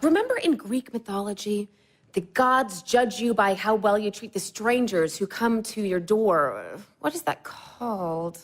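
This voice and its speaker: A woman's voice: medium pitch, animated, unhurried. A woman acting in an audio drama as an anxious, argumentative young student, over-apologetic yet combative.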